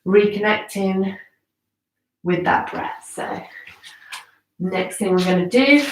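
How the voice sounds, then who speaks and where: Yoga teacher lady, bit bunged up, talks you thru moves with little pauses, echoey room, kinda far from mic. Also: mid-range voice. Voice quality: slightly congested, bunged-up nose. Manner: measured, pausing between cues, conversational asides. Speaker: yoga instructor. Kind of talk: live guided yoga class, instructional talk. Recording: roomy echo, distant from the mic.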